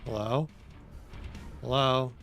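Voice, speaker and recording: A man streaming a game, thinking aloud and chatting with viewers in a loose, unhurried way. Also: mid-range voice, fairly even intonation, vocal fry, loud music behind the voice. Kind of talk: casual live-stream gaming commentary.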